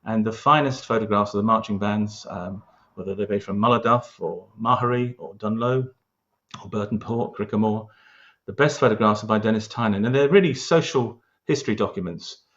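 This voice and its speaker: A man's voice: fairly low pitch, brisk, fairly bright tone, lo-fi sound. A man with a London accent, talking earnestly about local history in an interview, with frequent restarts and hesitations. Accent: London English. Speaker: local historian, award winner.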